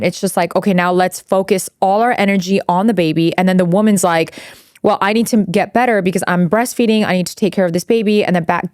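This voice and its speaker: Woman co-host, 40s, from Iran: lowish voice, candid, swears freely, dry close sound. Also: darkish tone, dry recording. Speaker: podcast co-host. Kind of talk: casual chat.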